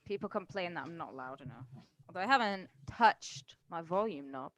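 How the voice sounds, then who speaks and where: A woman's voice: fairly low pitch, animated, moderate pace, crowd noise. A woman in her thirties, a podcast co-host, chatting playfully and teasing before a livestream, swearing freely. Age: 30s. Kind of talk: casual banter before a livestream.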